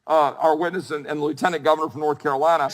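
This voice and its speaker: Fast-talking male lawmaker in reverberant hall. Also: fairly high pitch, fairly even intonation. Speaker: lawmaker questioning witness. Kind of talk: formal hearing questioning.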